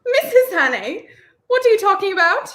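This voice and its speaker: A woman's voice: very high-pitched, sing-song, moderate pace, a bit bright, exaggerated voice. A young woman acting a spirited romantic heroine in a 1930s-style radio play. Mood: indignant. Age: young adult.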